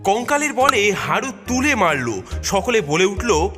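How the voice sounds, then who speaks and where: A man's voice: high-pitched, very animated, a little slow, over loud music. A man narrating a children's cartoon story in a dramatic storytelling manner.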